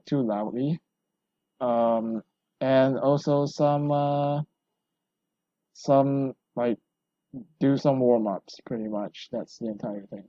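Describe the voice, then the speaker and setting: Rambling hoarse man hosting a podcast. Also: medium pitch, mellow, dry recording, dull audio. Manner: rambling, self-deprecating, full of fillers and restarts. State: hoarse from long talking. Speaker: podcast host. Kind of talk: rambling solo podcast chat.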